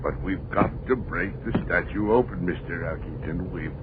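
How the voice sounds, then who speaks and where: A man's voice: deep voice, moderate pace, close to an everyday voice. A man acting an obsessed old man in a 1940s radio horror drama, muttering and repeating himself fanatically. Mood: feverish, urgent. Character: obsessed, feverish old man breaking open a statue. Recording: tinny, very noisy old radio recording.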